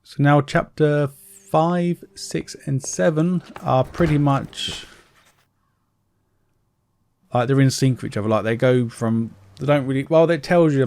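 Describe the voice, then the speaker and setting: A man's voice: medium pitch, darkish tone. Casual man narrating a game walkthrough. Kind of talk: casual gameplay commentary. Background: game music.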